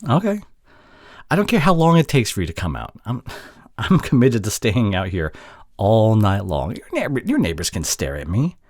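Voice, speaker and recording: A man playing an apologetic, insecure boyfriend in an intimate audio drama, speaking haltingly with sighs and breathy murmurs. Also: lowish voice, huge pitch swings, a little mellow, dry recording, clean studio sound. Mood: stubborn, pleading. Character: apologetic, pleading long-distance boyfriend, insecure young man. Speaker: audio roleplay voice actor.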